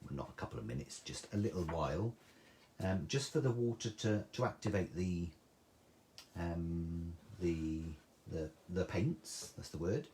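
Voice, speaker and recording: A man's voice: sits low, a little slow, a little mellow. A man with a soothing voice, chatting through a live craft tutorial and reading out viewer comments. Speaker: craft demonstrator.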